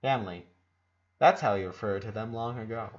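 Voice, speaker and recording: A man reading a story aloud as an expressive narrator. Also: lowish voice, a little fast, highs cut off. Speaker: fan fiction narrator.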